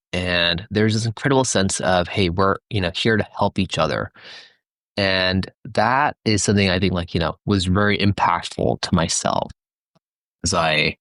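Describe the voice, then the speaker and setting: Deep-voiced man from Tanzania. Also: creaky, a bit bright, no echo. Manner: measured, leans on "you know" fillers. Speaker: guest, company founder. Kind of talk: casual interview answers.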